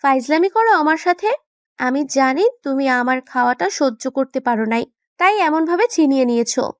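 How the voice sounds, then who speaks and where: A woman's voice: sits high, lively intonation, no echo, clean studio sound. A woman playing a playful, pouty young wife who banters and mock-scolds in a romantic audio story. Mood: pouty, scolding. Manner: pouting, bantering, quick to protest.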